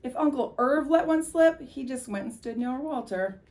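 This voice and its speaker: A woman reading a children's story aloud as a volunteer, with animated, emphatic delivery. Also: sits high, normal speed.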